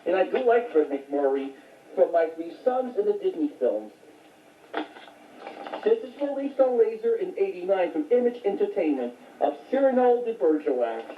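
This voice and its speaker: A woman's voice: medium pitch, sing-song, normal speed, a little hiss or hum. Tinny phone-like sound, roomy echo, woman, casual rambling collector's show-and-tell, matter-of-fact delivery. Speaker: home video collector showing recent finds. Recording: tinny phone-like audio, echoey, distant mic.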